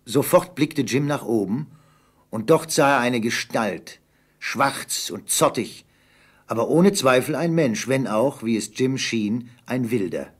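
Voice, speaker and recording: A man with a husky voice narrating an adventure radio play as scripted storytelling. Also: medium pitch, lively intonation, slow pace.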